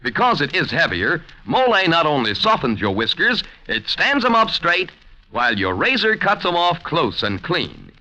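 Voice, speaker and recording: A man's voice: a little high, huge pitch swings, normal speed, bright, forceful tone, low-quality recording. A man announcing on 1940s radio in an emphatic, punchy salesman's delivery. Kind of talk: 1940s radio commercial pitch.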